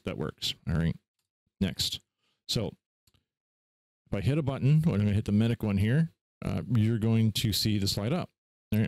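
A man hosting a casual screen-share software tutorial in an informal, offhand way. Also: lowish voice, dry recording. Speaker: tutorial host.

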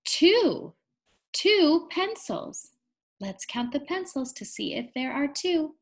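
A young woman reading aloud to small children in an encouraging, sing-song teacher's manner, guiding them along deliberately. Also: high voice, very animated. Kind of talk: interactive children's read-aloud lesson.

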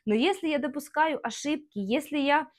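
A woman's voice: sits high, normal speed. A young Ukrainian woman in her twenties, a vlogger, talking frankly to the camera in her kitchen on a lapel mic, with a Ukrainian accent and lots of fillers. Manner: frank, ranting and gesturing, many fillers. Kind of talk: casual talk to camera. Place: home kitchen, indoors.

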